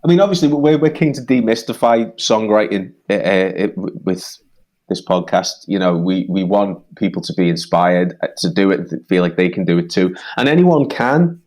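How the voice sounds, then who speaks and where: A man's voice: sits low, expressive, normal speed, a little mellow. A man with a Liverpool accent, a songwriter co-hosting a podcast, talking earnestly and repeating himself as he finds his words. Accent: Liverpool English. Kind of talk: casual chat.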